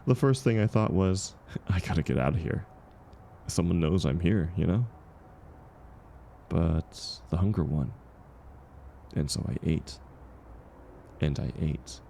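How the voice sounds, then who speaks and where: Casting: low-voiced, mellow drifter, acted by a man, world-weary but kind, gently encouraging in an intimate comfort roleplay; faint noise behind. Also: expressive, creaky, full character voice. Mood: wry, nostalgic. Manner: gentle, encouraging, unhurried, chuckling often.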